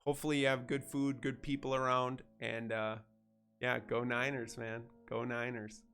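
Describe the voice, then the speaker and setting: A man chats casually through a gameplay livestream. His stuffed-up head cold leaves him nasal, with a gravelly rasp in his tone. He sounds easygoing and friendly, and faint music plays behind him. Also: mid-range voice, little pitch movement, normal speed. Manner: easygoing, chatty, thanks viewers warmly. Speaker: streamer.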